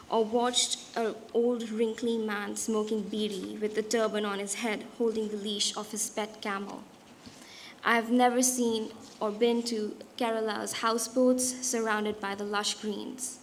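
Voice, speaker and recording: Young indian woman giving a talk, bit high voice, slow and deliberate, faint room noise. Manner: measured, deliberate delivery with occasional restarts. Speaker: guest speaker, designer and artist. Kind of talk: prepared public talk.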